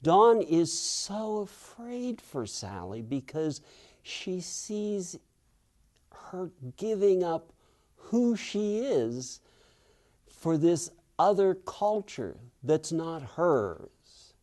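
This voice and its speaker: A man's voice: sits high, sing-song, darkish tone. An older man from Western Pennsylvania, an author telling reflective stories in an interview and acting out the voices of people he quotes. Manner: thoughtful, unhurried, acts out quoted people.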